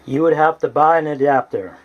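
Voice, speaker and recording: A man presenting a casual hands-on tutorial as a hobbyist, speaking in a halting, deliberate, plain-spoken way. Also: fairly high pitch, lo-fi sound. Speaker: hobbyist presenter demonstrating radio gear.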